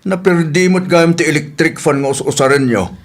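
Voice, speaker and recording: A man's voice: a little high, normal speed, lightly acted. A man playing a protective, indignant older brother in a radio comedy drama, plain-spoken and questioning. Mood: indignant, probing.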